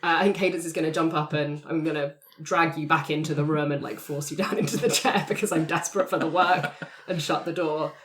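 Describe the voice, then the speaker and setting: A woman's voice: low-pitched, fairly even intonation, brisk, few pauses, a bit bright, a little hiss or hum. A woman player improvising scene description in a roleplay podcast, informal and playful, thinking aloud.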